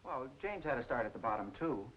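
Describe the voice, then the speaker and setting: An actor playing an affable, earnest young trumpet player and suitor in an old-time radio drama, polite and easygoing. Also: male voice, medium pitch, sing-song, quick pace, natural voice. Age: young adult. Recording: muffled hissy old radio recording.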